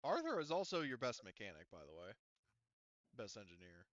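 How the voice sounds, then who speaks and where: Clear-voiced male game master, very dry sound. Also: on the low side, wildly swooping pitch, fairly quick, fairly bright tone, no room sound, highs cut off. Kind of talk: casual tabletop game session over voice chat. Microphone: close computer mic, online voice call.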